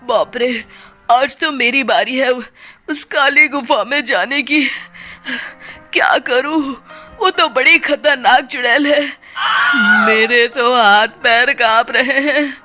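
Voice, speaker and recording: Woman as timid farmer man, raised pitch, anxious lament, tense bright tone, storybook. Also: slow, faint music behind, heavily acted. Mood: frightened. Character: timid, fearful male village farmer.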